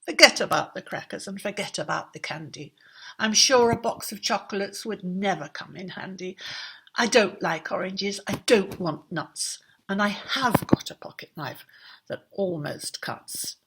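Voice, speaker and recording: A woman's voice: mid-range voice, animated, measured pace, creaky, fairly bright tone, a little hiss or hum. A woman voicing a lonely, childishly wishful king with a pleading, dramatic storybook delivery. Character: lonely, childishly wishful bad king, hopeful and self-pitying.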